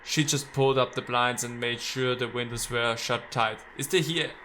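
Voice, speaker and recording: A man's voice: medium pitch, fairly even intonation, moderate pace, noisy background. A man playing a visual novel, reading its text aloud and adding wry, casual commentary. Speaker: let's player.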